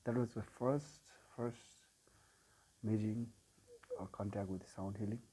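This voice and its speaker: A man in his forties with a Nepali accent tells his own story as a sound healer. His voice is mellow and rather low, and he speaks very slowly with many pauses and filler sounds. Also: steady tone, husky, poor audio. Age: 40s. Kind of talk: personal life story told to camera.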